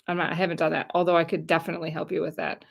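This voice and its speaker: A woman's voice: lowish voice, little pitch movement, racing, gravelly, muffled recording. A middle-aged American woman chatting casually on a livestream, rambling and talking straight to her viewers. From United States. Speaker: YouTube channel host.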